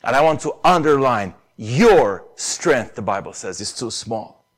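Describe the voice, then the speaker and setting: A man's voice: medium pitch, lively intonation, a little slow, husky. A man with a Romanian accent preaching a sermon earnestly, pressing hard on key phrases. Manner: earnest, rises to emphatic stresses on key phrases.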